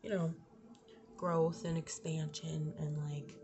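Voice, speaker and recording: A young woman with a slightly raspy voice doing a casual tarot reading, thinking aloud, trailing off and restarting her sentences. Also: sits low, little pitch movement, slow pace, mellow. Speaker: tarot reader. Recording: audible background noise.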